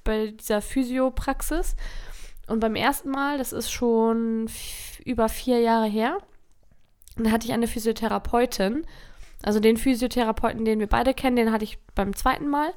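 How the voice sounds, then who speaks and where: A woman with a fairly high voice co-hosts a podcast. Her talk is casual and informal, like sharing anecdotes across a table into a microphone. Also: steady tone, normal speed, a little mellow, clean tone. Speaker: podcast co-host. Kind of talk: casual chat. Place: seated at a table.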